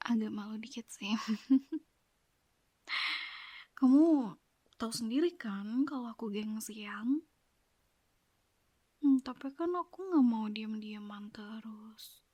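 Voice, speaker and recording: Breathy whispering woman, slow and close. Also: on the high side, no room sound. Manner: soft coaxing whisper, apologetic and pleading. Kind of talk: whispered ASMR girlfriend roleplay.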